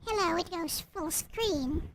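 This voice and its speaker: A woman's voice: extremely high pitch, unhurried, a bit bright. A woman speaking in an informal, homemade way as she presents and reads a storybook-style visual novel. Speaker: visual novel creator and reader. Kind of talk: casual chat and storybook narration.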